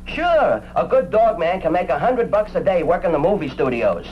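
An actor playing a proud, talkative animal-act agent in a radio drama, with a folksy, slangy way of talking. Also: male voice, high voice, sing-song, moderate pace. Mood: knowing, emphatic. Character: chatty, proud male animal-act agent, showbiz enthusiast. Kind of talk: old-time radio drama acting. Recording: muffled, faintly noisy old radio recording.

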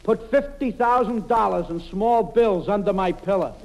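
An actor playing a smooth-talking, scheming suitor in old-time radio comedy, with a deliberate, mock-tender manner. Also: male voice, high-pitched. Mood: sly, soothing. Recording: very noisy old radio recording.

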